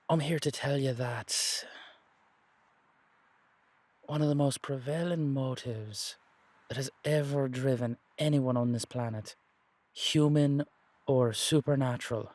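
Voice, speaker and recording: Actor as deliberate, persuasive man, slow and dry-recorded. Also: medium pitch, a little mellow, no room sound. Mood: musing, persuasive. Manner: deliberate, persuasive, halting phrases for emphasis. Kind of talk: dramatic audio roleplay dialogue.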